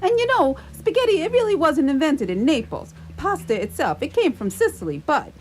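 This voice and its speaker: Woman acting shy young man, radio play, earnest, hesitant, rambling, high pitch, animated. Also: brisk.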